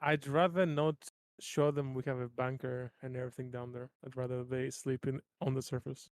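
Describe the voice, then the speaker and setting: A man's voice: medium pitch, flat delivery, normal speed, no echo. A man taking part in a tabletop roleplaying game as a player, chatting casually. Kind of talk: casual chat.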